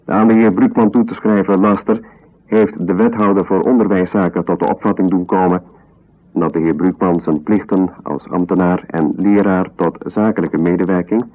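Bureaucratic official, very low voice, formal, measured, detached, muffled old radio recording. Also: male voice, steady tone. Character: impersonal bureaucratic reader of an official ruling. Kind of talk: formal reading of an official document. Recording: dull 1970s radio recording.

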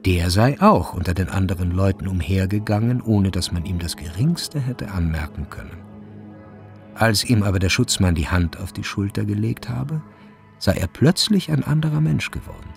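Low, creaky, grave narrator. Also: male voice, expressive, moderate pace, soft background music. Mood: measured. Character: sober male literary narrator of a grim story. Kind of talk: scripted literary narration in a radio play.

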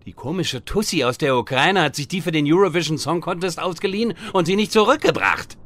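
An actor playing a whiny, self-important wizard with a theatrical, childishly excitable manner in a comedy audio drama. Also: male voice, a little high, fairly quick, dry recording. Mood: grumbling, self-important.

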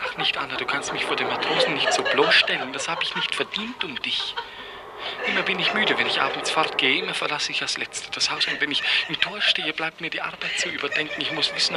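An actor playing a lovesick, weary clerk who pleads in the lyrical, theatrical manner of a 1950s German radio play. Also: male voice, fairly high pitch, lightly acted. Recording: noisy old 1950s radio drama recording.

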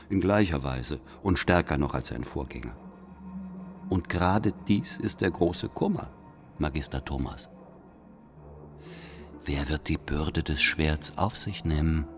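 An actor playing a solemn, scheming letter writer from sixteenth-century Germany, speaking in formal, measured rhetoric. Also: male voice, a bass voice. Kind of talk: literary radio drama monologue, reading a letter.

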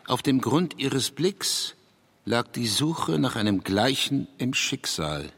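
Male for taciturn writer, radio play; husky, slow and halting, heard in long hall reverb. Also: mid-range voice, sing-song, fairly bright tone, full character voice. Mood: brooding, tender. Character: male writer, taciturn, hesitant, brooding poet. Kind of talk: radio drama, literary reading and interview.

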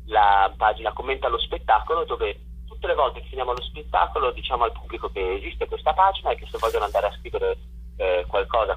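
Guy, theatre director being interviewed, kinda low husky voice over a radio phone line. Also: a bit bright.

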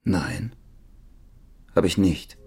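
An actor playing a guarded, evasive ex-convict husband in a radio crime drama, terse, with a husky voice. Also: male voice, low voice, extremely slow, darkish tone, heavily acted. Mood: evasive confession.

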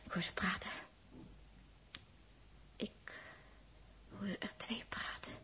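A woman with a Netherlands Dutch accent playing an anxious, tender girl aboard a spaceship in a 1960s radio drama, emotive and halting, often muttering to herself. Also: pushed and bright, whispered. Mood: fearful muttering.

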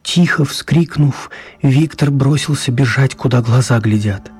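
An actor playing a storyteller, narrating a children's radio tale with measured dramatic pauses. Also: male voice, medium pitch, a little mellow, husky. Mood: dramatic narration. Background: music.

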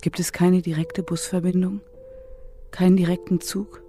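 An actress playing a reluctant, uneasy widow in a German radio play, guarded, questioning and insistent in manner. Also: female voice, on the low side, level tone, moderate pace, mellow, faint music behind, natural voice.

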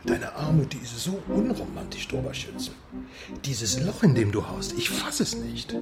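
Jovial, mocking asthmatic correspondent for radio crime drama: breathy, hugely animated pitch, clear music underneath. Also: male voice, moderate pace. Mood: mocking disgust. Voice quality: wheezy, breathy. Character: fat, asthmatic, bald, teasing West German correspondent. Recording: music behind the voice.

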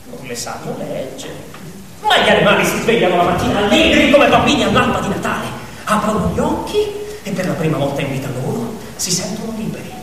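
A stage narrator telling an animal fable theatrically, expressively and with conversational asides to the audience. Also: mid-range voice. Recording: echoey hall, distant mic, faint noise.

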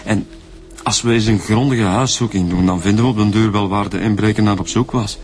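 A man's voice: lowish voice, fairly quick, natural voice. A man with a Flemish accent playing an eager, talkative detective in a radio drama. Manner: eager, chatty, excitable. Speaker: police detective. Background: music.

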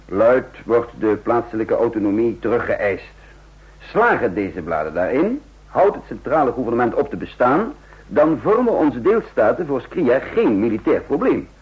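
Shrewd spy, very raspy, deep-ish, measured and formal, radio play, dull old recording. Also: male voice. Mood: urgent, persuasive. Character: male undercover spy narrator, shrewd, calculating intelligence officer. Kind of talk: radio drama acting and narration.

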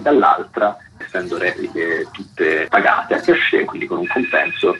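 Man, low voice, explanatory radio guest, lo-fi muffled sound, background noise. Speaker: theatre festival coordinator, guest. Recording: dull, noisy phone-in audio.

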